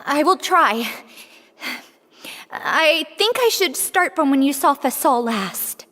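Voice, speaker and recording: A woman's voice: sits high, animated, slow, bright, forceful tone, in a large hall, exaggerated voice. An actress playing a frightened, deferential young woman in a video game, anxious and apologetic in manner. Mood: anxious pleading.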